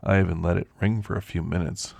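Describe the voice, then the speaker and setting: A man playing an elderly werewolf who reminisces in a folksy, gentle, unhurried way, in an ASMR roleplay with a gravelly, husky voice. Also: low-pitched, fairly even intonation, dry recording, exaggerated voice. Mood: wistful, reflective. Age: older. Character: elderly male werewolf, reflective, gentle storyteller recounting his past.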